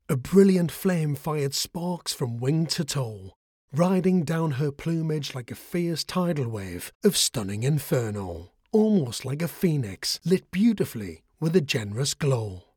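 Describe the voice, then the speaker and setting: A man reads a bedtime story in a soothing, expressive way, his pitch gliding up and down in a lively manner. His voice is mid-pitched and a touch husky. It sounds close and dry, with no room around it. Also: measured pace, no echo.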